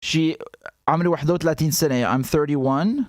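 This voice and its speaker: A man's voice: a little high, normal speed, a little mellow, dry recording, clean studio sound. A man from Syria with a Damascene accent hosting a casual reaction video, laughing often and mixing English with Arabic. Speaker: host reacting to clips.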